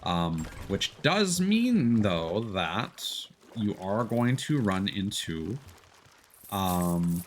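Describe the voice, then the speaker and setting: A man casually explaining game mechanics on a gaming stream in a halting, rambling way. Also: on the low side, very animated, slight background noise.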